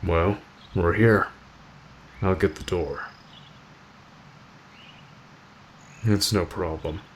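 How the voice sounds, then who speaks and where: A man playing an aloof, stoic teenage delinquent boy, curt and deadpan, in an ASMR roleplay addressed to the listener. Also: low voice, slow, a little hiss or hum. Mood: grudgingly friendly.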